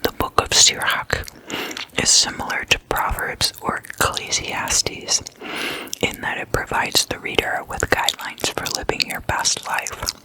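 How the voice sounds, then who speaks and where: Slow, bright whispering ASMR host. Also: poor audio. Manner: slow, deliberate, hushed delivery in short phrases. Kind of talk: whispered ASMR, casual explainer.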